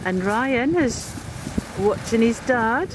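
A woman narrates her family outing as she films it on a handheld camcorder, speaking slowly and chattily. Her voice sits a bit high. Wind and surf noise come through clearly behind her on an outdoor pebble beach. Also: animated. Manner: chatty, amused, laughing often. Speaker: family member filming. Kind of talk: casual home-video commentary. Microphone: handheld camcorder mic.

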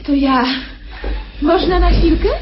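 An actress playing a sweetly patronizing, inquisitive private nurse in a radio drama, chatty, coy and cooing. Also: female voice, very high voice, takes it slowly, soft background music. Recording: dull radio recording with faint noise.

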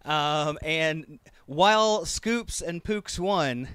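A man's voice: on the high side, extremely slow, smooth, no room sound. A man in his thirties hosting a casual review chat, upbeat and conversational. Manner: upbeat, energetic opener then conversational. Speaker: host.

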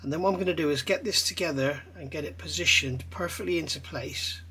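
A man's voice: fairly high pitch, brisk, slight background noise, poor audio. A middle-aged man explaining a scale model build at his workbench, chatty and rambling as he works. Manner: relaxed, rambling, talks while working with his hands. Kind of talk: hands-on tutorial with chatty asides. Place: indoor hobby workbench. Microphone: overhead camera on workbench.

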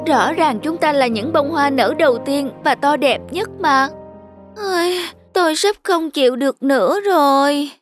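A vain young cartoon sunflower with a high, girlish pitch. The delivery is expressive and full of exclamation, made for children's animation. Music plays behind the voice. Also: a little slow. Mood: miserable, complaining. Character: excited, vain young female sunflower, later despairing.

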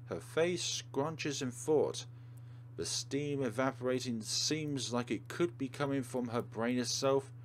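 Guy doing a lets play, reads the story out n chats, middling pitch, bit of noise. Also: slow pace. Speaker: let's play host. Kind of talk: reading game narration aloud with casual commentary.

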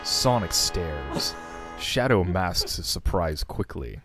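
A man's voice: sits low, big pitch swings, slow pace, over music. A man narrating an amateur dramatic reading of a screenplay.

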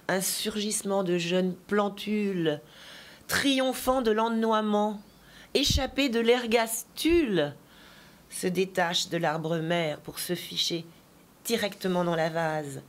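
A woman poet and teacher giving an interview, telling stories with many asides and then reading her poetry slowly and deliberately. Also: medium pitch. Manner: digressive, chatty storytelling with asides; slow, deliberate poetry recitation.

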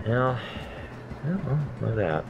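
A man's voice: medium pitch, big pitch swings, a little slow, narrow, tinny audio, over music. A man with a nasal voice chatting casually while playing a game, rambling between stories and swearing at his mistakes. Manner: rambling, self-deprecating, swears at deaths. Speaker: gameplay commentator. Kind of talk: casual let's-play chat.

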